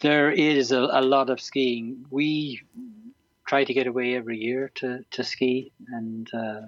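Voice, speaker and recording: An Irish man in his sixties chatting as an interview guest, hesitant and full of ums and restarts. His voice sits at an ordinary pitch. The sound is dull and lo-fi, with a dry, close feel. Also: little pitch movement, unhurried, dry recording. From Ireland. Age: 60s. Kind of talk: casual interview chat.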